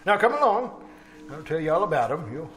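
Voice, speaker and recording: A man playing a sly, murderous old villain in an old-time radio horror play, falsely soothing and chuckling, repeating himself in halting phrases. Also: a little high, sing-song, low-quality recording. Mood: gleeful, menacing. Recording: live stage performance with loud music.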